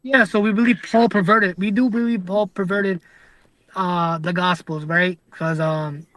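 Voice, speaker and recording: Young male debater with a high voice and dry sound; earnest and casual, talks in restarts and keeps asking "right?". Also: fairly even intonation, unhurried, a bit bright, no echo, low-quality recording. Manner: casual slang, calls listener "bro".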